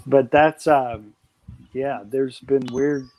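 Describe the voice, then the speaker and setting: A man hosting a live stream late at night talks in a casual, rambling way. His voice sits at an everyday middle pitch. He sounds enthusiastic and often restarts his sentences. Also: steady tone, takes it slowly, a little mellow, rough recording. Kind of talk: casual chat.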